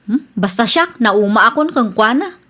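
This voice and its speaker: Fed up blunt wife in an ilocano radio drama, really high voice, ilocano accent. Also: female voice, expressive, moderate pace, sharp tone. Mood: frustrated. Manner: exasperated, blunt. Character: fed-up young widow complaining about her lazy second husband.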